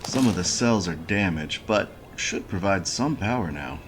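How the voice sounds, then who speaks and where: Noir space-station handyman, deep register, lively inflection, wry, folksy, laconic, video game monologue, faint music behind. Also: moderate pace. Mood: wry, matter-of-fact. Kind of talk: scripted point-and-click adventure game narration, noir.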